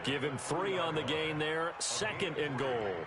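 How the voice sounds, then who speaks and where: An actor playing a polished American play-by-play football announcer in a video game, calling the action in clipped phrases. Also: male voice, fairly high pitch, a little slow, a loud crowd, natural voice. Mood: businesslike. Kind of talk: play-by-play sports commentary. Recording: loud game ambience and ball thumps.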